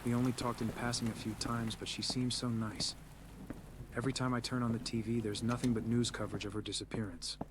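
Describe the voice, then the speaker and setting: A man's voice: mid-range voice, flat delivery, a little fast, natural voice. A man playing an anxious, introspective man in a game, speaking confidingly in a reflective first-person monologue. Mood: sympathetic. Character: Adam, anxious introspective man narrating his troubled life. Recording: clear rain noise behind.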